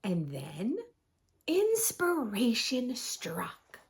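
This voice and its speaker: A woman narrates a children's picture book with very animated, swooping pitch. She takes her time, pausing for drama and stretching key phrases. Her voice has a soft, husky edge. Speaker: children's storybook reader, host. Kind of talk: expressive read-aloud narration for preschoolers.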